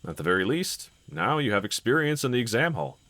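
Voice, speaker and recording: A man playing a gentle, encouraging husband in an intimate scripted roleplay audio, speaking in a considerate, reassuring manner. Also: medium pitch, sing-song, a little fast, no room sound. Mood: encouraging, supportive. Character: gentle, encouraging adult husband, calm and caring. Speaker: character audio voice actor.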